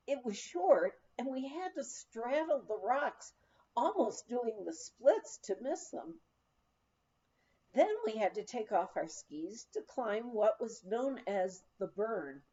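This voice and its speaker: A woman reading a humorous first-person memoir aloud as an audiobook, with animated, expressive storytelling. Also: a little high, lo-fi sound. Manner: animated storytelling, acting out quoted dialogue. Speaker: audiobook reader.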